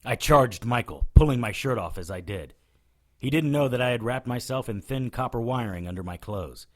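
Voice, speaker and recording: A man's voice: medium pitch, expressive, normal speed, lightly acted. A man playing a wry, hard-boiled hero, narrating a scripted audio drama in the first person with dry sarcasm. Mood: tense, sardonic. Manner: dry, sardonic. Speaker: narrator and lead character.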